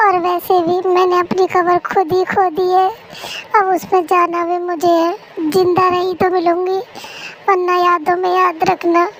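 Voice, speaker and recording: A woman's voice: very high-pitched, flat delivery, measured pace, slight background noise. A woman voicing an upset young wife who complains in a whiny, melodramatic way, in an amateur fan dub.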